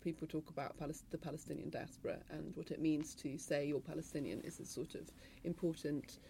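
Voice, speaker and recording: Fast-talking gravelly woman novelist. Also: sits low, fairly even intonation, a little hiss or hum. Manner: quick, hedging, trails off softly. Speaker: novelist on a panel. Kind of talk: panel discussion.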